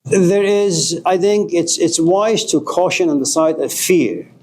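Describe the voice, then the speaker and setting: A man's voice: on the high side, a little slow, a little mellow. Middle-aged male lecturer from Iran, passionate, blunt, curses for emphasis; light background hiss. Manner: passionate, swearing, rising to emphatic outbursts. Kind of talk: informal philosophy lecture.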